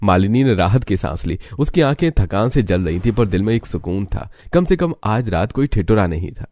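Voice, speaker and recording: Deep, mellow male storyteller. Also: big pitch swings, moderate pace. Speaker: narrator. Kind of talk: scripted dramatic story narration.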